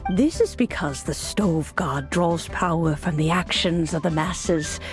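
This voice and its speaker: An actress voicing an elderly, fond grandmotherly mentor in a game cutscene who talks gently and unhurriedly. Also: on the low side, soft background music, natural voice. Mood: serene fond. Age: older. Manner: gentle, unhurried, softly laughing. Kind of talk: video game cutscene voice acting.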